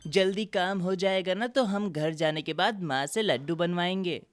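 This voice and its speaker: A woman's voice: on the low side, moderate pace, close to an everyday voice. Woman playing a bossy young boy bird. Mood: teasing. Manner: scolding, teasing, chatty. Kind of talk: children's animated moral story.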